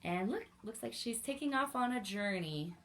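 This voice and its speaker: A woman's voice: on the high side, animated, normal speed, fairly bright tone, a little hiss or hum. A woman hosting a library story time, reading aloud and chatting directly to young children with frequent exclamations.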